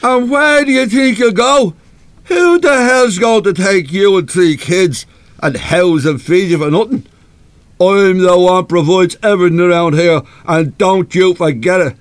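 A man's voice: high-pitched, a little slow, smooth, no echo. A man with an Irish accent playing a coarse, abusive, hard-drinking husband in a live radio play. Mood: threatening, furious. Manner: coarse, belligerent, cursing.

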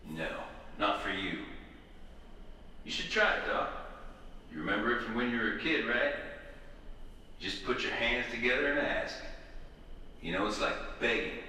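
A man's voice: mid-range voice, lively intonation, moderate pace, pauses often, sharp tone. A man acting a crude, sneering, mock-pious figure who taunts a prisoner in an audio drama. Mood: taunting. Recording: distant, echoing hall with faint noise.

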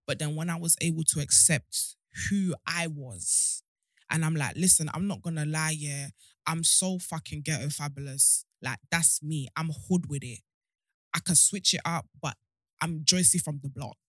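A woman's voice: sits low, normal speed, no echo, clean studio sound. A young woman, talking openly and outspokenly as a guest on a podcast, often jumping from one point to the next. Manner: candid, outspoken, jumps between points. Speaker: guest, show host. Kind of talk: casual podcast chat.

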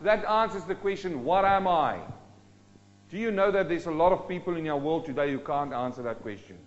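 A man from South Africa preaching a sermon, swinging between loud rising questions and low, slow emphatic drops. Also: dull audio. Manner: dynamic, rising and dropping sharply for emphasis.

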